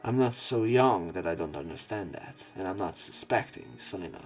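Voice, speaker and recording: A man reading a visual novel aloud as a let's player, in an expressive, dramatic narration style. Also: sits low, moderate pace, faint music behind. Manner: expressive, with emotional swells.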